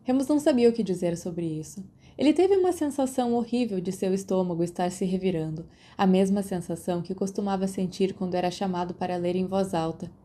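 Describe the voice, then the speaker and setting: Brazilian lady reading fanfic aloud, dark mellow voice, audiobook style narration. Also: mid-range voice, a little fast, few pauses. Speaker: podfic narrator. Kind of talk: scripted story narration.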